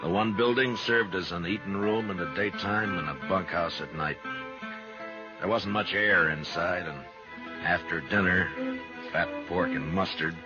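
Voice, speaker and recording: An actor playing a steady, laconic frontier marshal with dry, measured authority, acting and narrating in a 1950s radio western. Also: male voice, deep voice, over music. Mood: measured narration. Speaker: lead character and narrator. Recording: muffled 1950s radio recording.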